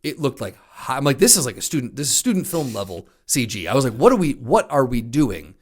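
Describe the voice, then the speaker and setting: A man's voice: medium pitch, very animated, fast talker. Exasperated, foul-mouthed man on a podcast. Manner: profane, exasperated venting. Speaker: podcast co-host. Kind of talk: casual chat about movies.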